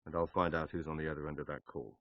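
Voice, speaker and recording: Terse, deep-voiced British secret agent. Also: male voice, level tone, quick pace, no echo, highs cut off. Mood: businesslike, resolute. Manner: terse, dry, businesslike. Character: composed, determined male British secret agent. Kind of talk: game cutscene acting.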